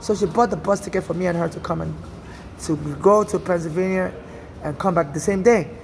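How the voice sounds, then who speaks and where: A man's voice: high voice, fairly even intonation, fairly quick, vocal fry, slight background noise. A Nigerian man from Nigeria with a Nigerian accent, telling a personal story in an earnest, rambling way, often restarting and saying "you know". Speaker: storyteller recounting personal experience. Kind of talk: casual first-person monologue.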